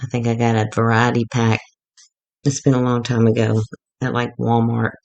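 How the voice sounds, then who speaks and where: A woman going hoarse as she gives a rambling, chatty, self-deprecating walkthrough of a craft project. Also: extremely low pitch, little pitch movement, normal speed, darkish tone. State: getting hoarse. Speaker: craft tutorial maker.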